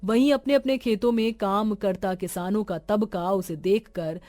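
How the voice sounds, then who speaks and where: A woman's voice: mid-range voice, unhurried, a little mellow, dry recording. A woman reading a scripted story as a narrator, in a dramatic storytelling style. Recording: music behind the voice. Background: background music.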